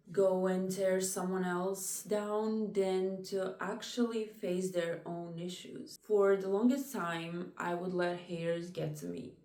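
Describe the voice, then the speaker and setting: A young woman talking to camera about personal struggles in a soft, reflective way, with many "like" fillers. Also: on the low side, echoey room, recorded from a distance. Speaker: vlogger.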